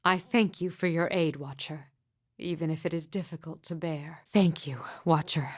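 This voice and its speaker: A woman voicing a sorrowful, haunted mystic midwife in a video game, speaking in solemn, hushed, deliberate and formal phrases. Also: sits low, steady tone, dry recording, clean studio sound. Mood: solemn, gentle. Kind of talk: video game character dialogue, poetic and measured.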